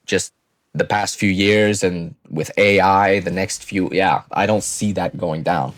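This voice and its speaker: A man's voice: deep voice, fairly even intonation, measured pace, no room sound. A man in his early twenties giving a casual, blunt motivational monologue to viewers, assertive and swearing freely, on a close microphone. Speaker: finance vlogger.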